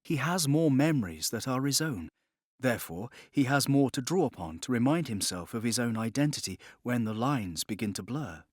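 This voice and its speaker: A calm, reassuring woman doctor in an audiobook, acted out by a male narrator. The delivery is soft and gentle, in a dry close recording. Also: medium pitch, normal speed, no echo, natural voice.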